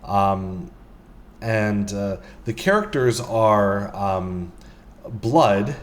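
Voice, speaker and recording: A man's voice: on the low side, expressive, drawn-out words, a little hiss or hum. A man casually talking through items in a game shop, rambling with lots of ums and uhs. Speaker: vlogger. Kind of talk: casual walkthrough commentary.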